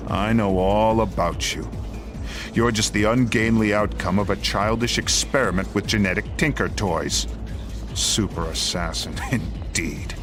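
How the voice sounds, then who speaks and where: An actor playing a smug, pompous rival assassin in a video game, taunting in florid, theatrical phrases. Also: male voice, fairly low pitch, unhurried, lightly acted. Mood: mocking, menacing. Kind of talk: dramatic video game voice acting. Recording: music behind the voice.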